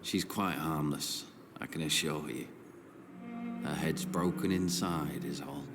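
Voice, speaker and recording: An actor playing a bitter, weary, scarred war veteran with deadpan humour in a video game. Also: male voice, extremely low pitch, a little slow, over music. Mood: wry, wistful. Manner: deadpan, sardonic, dark humour. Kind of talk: video game dialogue acting.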